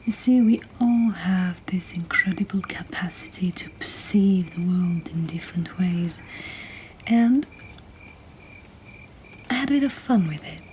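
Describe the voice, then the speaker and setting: A woman who is not a native English speaker reads poetry as a host in a soft, breathy, gentle whisper, in an ASMR style. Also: fairly low pitch, rough recording. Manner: slow, gentle, deliberate delivery. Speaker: host reading submitted poetry. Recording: nighttime cricket ambience behind, faint noise. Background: crickets and insect ambience.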